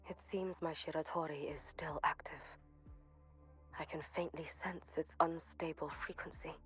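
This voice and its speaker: An actress voicing a solemn, composed young shrine maiden in a video game, with formal, measured, grave diction. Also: female voice, deep voice, steady tone, a bit bright. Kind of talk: scripted video game voice acting. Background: background music.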